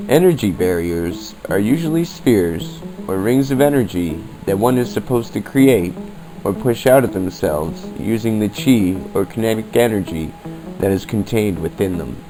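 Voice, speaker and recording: A man playing a calm occult practitioner, narrating instructions in a measured, explanatory way on a homemade radio-style podcast. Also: close to an everyday voice, rough recording. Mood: instructive. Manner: measured, explanatory, addresses listener as friend. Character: calm occult practitioner teaching spiritual protection. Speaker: podcast host playing a practitioner of spirit work. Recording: homemade low-budget recording with music underneath. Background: clear background music.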